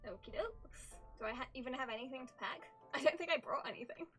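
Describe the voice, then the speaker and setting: A woman's voice: high voice, fast talker. A woman giving casual, playful live commentary as she streams a story game, with chatty, sarcastic asides. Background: faint game music.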